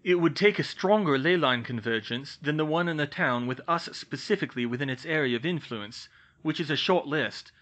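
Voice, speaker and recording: A man reading aloud voices a calm young wizard who explains magic in a storybook. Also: a little high, moderate pace, voice pushed up. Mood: explaining. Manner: steady, explanatory. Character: calm, knowledgeable young curse-breaker man.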